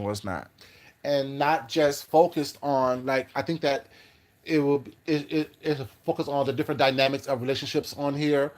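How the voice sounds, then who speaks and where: A man hosting a casual livestream, rambling through his thoughts, repeating words and greeting viewers by name. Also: medium pitch. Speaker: YouTube livestream host. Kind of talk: casual livestream chat reading comments.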